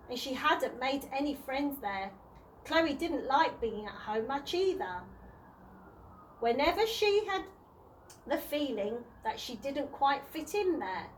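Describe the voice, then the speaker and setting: A woman reading a children's storybook aloud in a deliberate way, sometimes stumbling and rereading words. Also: high voice, a little hiss or hum, low-quality recording. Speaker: early years practitioner reading to children.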